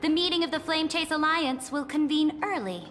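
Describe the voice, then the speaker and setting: A woman's voice: high voice, moderate pace, a bit bright. A woman playing an imperious, conquering empress in a video game, haughty and commanding in a grand, formal, declamatory way. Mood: regal, composed. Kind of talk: dramatic video game voice acting. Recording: long hall reverb, music behind.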